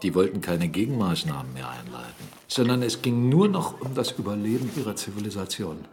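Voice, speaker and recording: Animated, forceful captain with a low voice. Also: male voice, a little fast, a little hiss or hum. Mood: grave, reciting. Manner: animated, swinging from murmur to exclamation. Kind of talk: German radio play dialogue.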